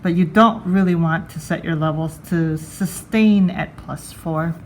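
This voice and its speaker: A woman's voice: lowish voice, measured pace, a little hiss or hum. A woman giving a casual hands-on product review, thinking aloud in a conversational way.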